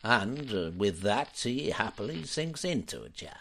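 A man narrating stage directions for a radio play in a measured storytelling style. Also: lowish voice, very animated, vocal fry, fairly bright tone, exaggerated voice. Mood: measured, wry.

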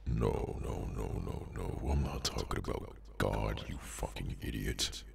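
A man voicing a weary, menacing demonic henchman in an ASMR audio roleplay, sardonic and low-key, with a gravelly voice. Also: slight background noise, exaggerated voice. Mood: sinister, sardonic. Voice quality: very deep, gravelly, creaky. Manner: slow, low-key, casually sinister, sardonic.

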